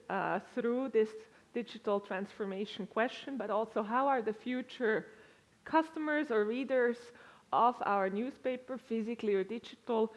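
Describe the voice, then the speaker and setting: A woman's voice: on the high side, muffled recording. A woman with a Swiss-tinged accent gives a conference presentation to a live audience in a lecture room, talking conversationally with frequent "uh"s and restarts. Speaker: conference speaker.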